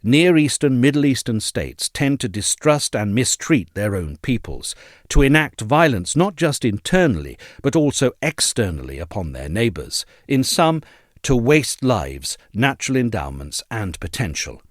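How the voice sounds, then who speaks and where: A man reading a scripted essay as narrator, in a measured, articulate, formal manner. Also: medium pitch, big pitch swings, dry recording, clean studio sound.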